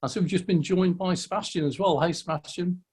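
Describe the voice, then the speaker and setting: Guy running a zoom meetup, kinda high voice, friendly, keeps stammering restarts. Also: brisk, a little mellow, slightly rough. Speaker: host of a weekly online meetup. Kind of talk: casual chat on a video call. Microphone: video call.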